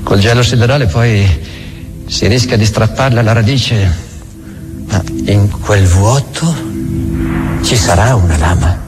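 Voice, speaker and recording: Un attore che interpreta un diavolo chiacchierone e disincantato, ironico e autoironico, in un monologo teatrale per la radio. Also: male voice, lowish voice, unhurried, pauses often, lightly acted. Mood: teasing, sardonic. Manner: ironic, musing, conversational. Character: sardonic, chatty devil, world-weary self-mocking parasite. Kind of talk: dramatic stage monologue for radio. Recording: music running under the voice. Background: music.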